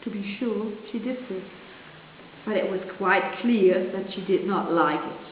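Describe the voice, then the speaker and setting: A woman's voice: mid-range voice, a little slow. A woman storyteller reading a fairy tale aloud with expression. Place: large reverberant museum room. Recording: very echoey hall, tinny sound with hiss.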